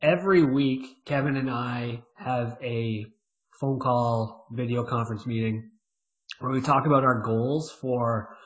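A man with a middle-pitched voice co-hosts a casual podcast chat. He jokes around and pokes fun at himself, his delivery animated. The sound is a little dull and lo-fi. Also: a little slow. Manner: joking, animated, self-mocking. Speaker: podcast co-host.

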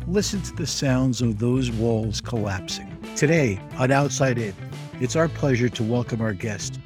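A man hosting a podcast, delivering a polished scripted introduction and then asking a guest questions in a measured way. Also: mid-range voice, a little mellow, breathy edge, dry recording. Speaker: podcast host.

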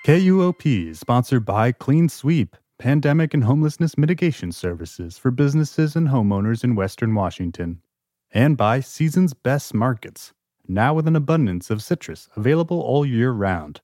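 An actor playing a formal, matter-of-fact public radio announcer reading sponsor notes and bulletins in an audio drama. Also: male voice, medium pitch, very animated, normal speed, warm tone, lightly acted.

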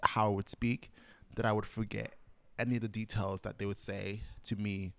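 A man's voice: fairly low pitch, flat delivery, normal speed, creaky, a bit bright, clear, resonant tone, dry recording. A young man as a podcast guest, sharing a personal faith story in a casual way, with restarts, repeated words and many fillers. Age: young adult. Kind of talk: personal testimony in casual conversation.

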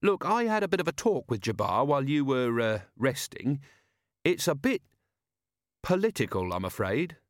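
A man's voice: mid-range voice, very animated, moderate pace, no room sound, studio quality, pitched above the performer's own voice, lightly acted. A man voicing an earnest, polite young male officer who speaks with eager deference. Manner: eager, deferential, keeps saying sir.

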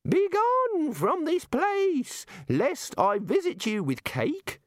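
A man voicing a tiny, fussy old male god who swings between pompous threats and gloomy deflation, in a high, quavering, drawn-out voice. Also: wildly swooping pitch, no room sound, clean studio sound, heavily acted.

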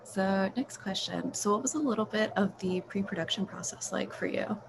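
A woman's voice: mid-range voice, moderate pace. A woman interviewing someone in a casual, chatty style. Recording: clearly noisy background.

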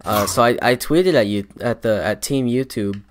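A man's voice: mid-range voice, normal speed, a little hiss or hum. A young man co-hosting a podcast in casual chat, rambling with lots of fillers and restarts, often laughing. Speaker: podcast co-host and rapper.

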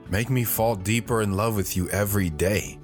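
A man's voice: lowish voice, steady tone, normal speed, faint music behind, natural voice. A man playing a devoted, reflective wandering father who tells his own story in an audio drama, earnest and sentimental. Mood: loving. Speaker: narrator.

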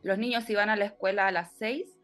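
A woman's voice: medium pitch, fairly even intonation, brisk, a bit bright, soft background music. A middle-aged woman, a podcast guest telling stories in a casual, chatty way with frequent laughs. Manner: chatty, laughs often, speaks in loose run-on stretches. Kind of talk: casual conversational interview.

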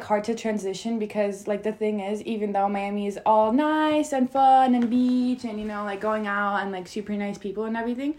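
A woman's voice: medium pitch, a little mellow. A young woman from Turkey with a Turkish accent, co-hosting a podcast in chatty casual talk full of "like". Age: young adult. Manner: chatty, lots of filler "like". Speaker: podcast co-host. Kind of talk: casual chat.

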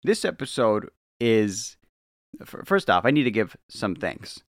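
Male podcast host, lowish pitch, highly expressive intonation, earnest and dramatic, close dry sound. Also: a little slow, no room sound. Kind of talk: solo podcast monologue on the paranormal.

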